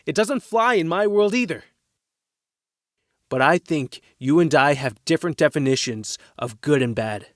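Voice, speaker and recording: A man's voice: a little high, lively intonation, moderate pace, fairly bright tone, no room sound, clean studio sound. A man playing a loyal, defensive working-class teenage boy in an audio drama, speaking earnestly and firmly.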